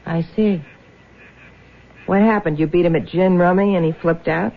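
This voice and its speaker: A woman's voice: on the low side, steady tone, moderate pace. An actress playing a tough, suspicious American woman with a blunt, slangy, taunting manner in a 1970s radio drama. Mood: sardonic, dismissive. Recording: muffled vintage radio recording.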